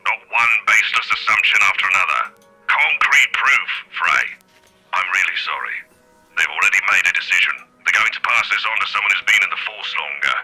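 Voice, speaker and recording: A stern, sharp-edged, low-voiced police chief. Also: male voice, expressive, fairly quick, faint music behind, heavily acted, poor audio. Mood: firm, regretful. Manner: firm, authoritative. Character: stern older male police chief, firm, weary authority. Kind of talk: dramatic visual novel voice acting.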